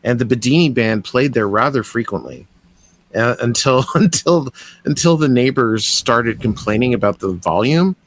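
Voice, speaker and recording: A man's voice: medium pitch, expressive, moderate pace. A young Canadian man hosting a podcast, eager and rambling, with lots of restarts as he talks. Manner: enthusiastic, rambling, many restarts. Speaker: podcast host. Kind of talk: casual interview chat.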